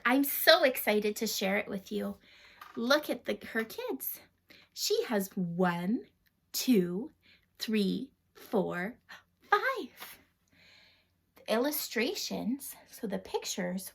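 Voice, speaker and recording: A woman hosting a read-aloud for young children, expressive and full of questions, sometimes dropping into a whisper, recorded at home. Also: medium pitch, gravelly. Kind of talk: animated children's storybook read-aloud with chat to the listeners.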